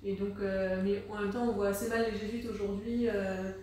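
A woman in a casual group discussion, talking hesitantly with frequent restarts and quick agreeing interjections. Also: mid-range voice, little pitch movement, darkish tone, a little hiss or hum. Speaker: discussion participant. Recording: echoey room, distant mic.

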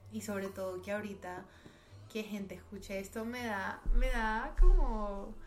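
A young woman from Barranquilla with a light Colombian coastal accent mixed with Bogotá speech, a guest chatting warmly and a little shyly. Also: medium pitch, a little slow. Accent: mild Colombian coastal mixed with Bogotá. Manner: warm, agreeing, a little shy. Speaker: podcast guest, singer. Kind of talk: casual chat.

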